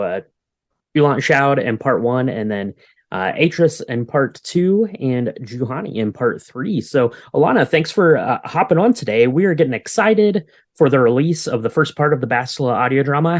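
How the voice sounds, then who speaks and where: A man with a mid-pitched voice hosts a podcast interview. He talks casually and conversationally into a close mic, with a very dry sound. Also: expressive, normal speed, dry recording.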